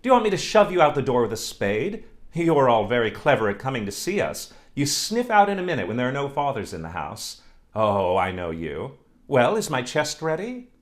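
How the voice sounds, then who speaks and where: A man's voice: medium pitch, sing-song, brisk, pitched above the performer's own voice, lightly acted. Man voicing a vain coquettish village beauty. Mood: scornful. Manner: coquettish, haughty, admiring herself. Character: vain teasing young village beauty, female.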